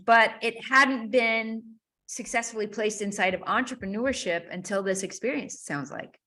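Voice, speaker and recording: A woman's voice: on the high side, moderate pace, bright, forceful tone. A woman hosting a podcast interview, summing up and reflecting back her guest's story in a chatty way. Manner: summarizing and reflecting back, frequent "like". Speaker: podcast host. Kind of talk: interview conversation.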